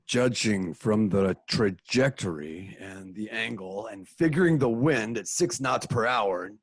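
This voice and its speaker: A man playing Batman, deep husky and deadpan. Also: dry recording, full character voice. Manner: deliberate, mock-serious deductions. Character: Batman, grave adult male crime-fighter, smug deductive. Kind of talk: amateur radio play reading. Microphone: home mic, dry room.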